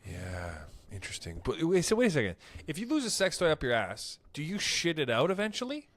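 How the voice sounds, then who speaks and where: A man's voice: a little high, a little fast, dry recording. A man co-hosting a podcast, chatting casually and teasing his co-host in a joking way. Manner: joking, teasing, lively delivery. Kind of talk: casual chat.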